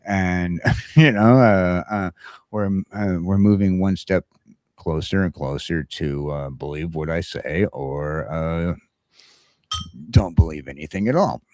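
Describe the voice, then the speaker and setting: A man with a very low voice hosts a laid-back morning talk show. He speaks casually and haltingly, circling back to repeat his strong opinions. The sound is close and very dry. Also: a little mellow, no room sound.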